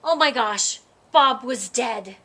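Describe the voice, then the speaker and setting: A woman reading a children's book aloud as storyteller, narrating in character with expressive, dramatic emphasis. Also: on the high side, takes it slowly.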